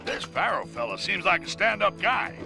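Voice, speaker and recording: A man's voice: high voice, big pitch swings, normal speed, gravelly, over music, heavily acted. An actor playing a blustering, self-important male newspaper editor giving a live news broadcast in a game cutscene. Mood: bombastic. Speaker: news anchor. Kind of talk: video game cutscene voice acting.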